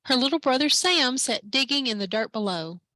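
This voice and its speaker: A woman librarian reading a children's picture book aloud at story time, lively and expressive. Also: sits high, normal speed, no echo. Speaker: library branch manager, story time reader.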